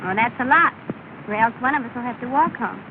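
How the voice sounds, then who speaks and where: A woman's voice: on the high side, quick pace, lightly acted. An actress playing a cheerful, gracious young woman, teasing and polite, in 1930 film acting. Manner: bright, polite, lightly teasing. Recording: scratchy, tinny 1930 film soundtrack with hiss.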